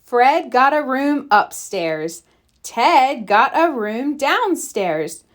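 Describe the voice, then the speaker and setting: A woman reads a bedtime story aloud for small children. Her voice is fairly high and very lively in pitch, and she goes very slowly, shaping each phrase with deliberate expression. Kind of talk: bedtime picture-book read-aloud.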